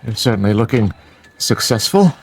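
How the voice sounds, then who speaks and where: A dignified, weary old schoolteacher, in a radio drama. His voice is fairly low, and he speaks with grave, measured politeness as a protective father, with soft music behind. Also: big pitch swings. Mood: grave, pleading. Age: older.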